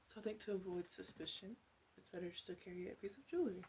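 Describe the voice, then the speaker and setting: A woman playing a flirty, blunt witch in an ASMR roleplay, speaking softly and hesitantly and often dropping into whispers. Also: medium pitch, fast, slight background noise, close to an everyday voice. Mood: matter-of-fact.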